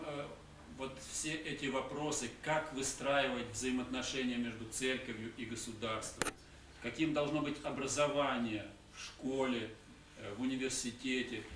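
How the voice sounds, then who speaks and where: A man giving a conference talk in short phrases, pausing often with hesitation sounds. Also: medium pitch, fairly bright tone. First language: Russian. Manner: halting, many hesitation sounds. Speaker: conference speaker. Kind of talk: conference talk with pauses for interpreter. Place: conference hall. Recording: echoey hall, distant mic, faint hiss.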